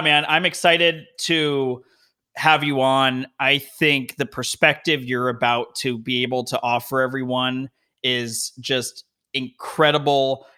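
A man's voice: mid-range voice, pushed and bright, smooth. A man hosting a podcast interview, talking in an upbeat, chatty way. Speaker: podcast host. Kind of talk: casual interview chat.

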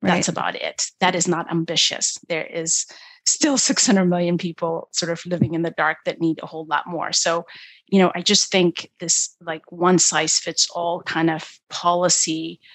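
A woman with a lowish voice, from Ethiopia, speaks as a guest on a podcast interview. She talks in an animated way and thinks aloud, leaning on fillers as she goes. The recording is very dry and close, with no room sound. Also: normal speed, no room sound, low-quality recording. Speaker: guest, energy policy expert.

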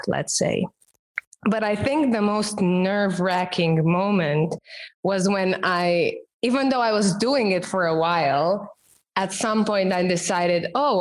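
A woman whose first language is Romanian, speaking English as an interview guest and professional coach in a measured, explanatory way. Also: lowish voice. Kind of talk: podcast interview answers.

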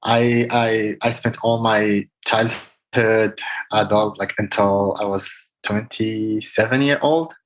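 A man's voice: fairly low pitch, fairly even intonation, slow, low-quality recording. Man telling his story. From Morocco. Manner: earnest, many fillers, simple non-native phrasing. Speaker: guest athlete. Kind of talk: casual interview answers.

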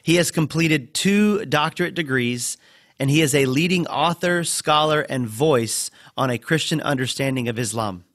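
Male podcast host, friendly, fairly high voice, dry close sound. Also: normal speed, dry recording. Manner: warm, friendly introductions and questions. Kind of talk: podcast interview.

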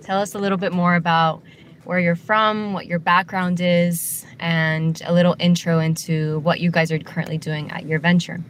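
Woman, podcast host, enthusiastic, lowish voice, faint music underneath. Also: little pitch movement, normal speed. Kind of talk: scripted intro then interview questions.

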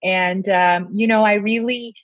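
A woman from India co-hosting a casual podcast, speaking in a measured way with frequent ums. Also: mid-range voice, fairly even intonation, no room sound, muffled recording. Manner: warm, measured, many ums. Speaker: pediatrician podcast co-host. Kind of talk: casual conversational chat.

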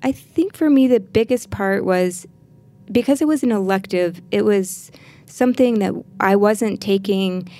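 A woman's voice: medium pitch, a little slow, mellow. A woman from Kansas with an American accent, a podcast guest talking reflectively about personal experiences in conversation. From Kansas, United States. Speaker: interview guest, art teacher.

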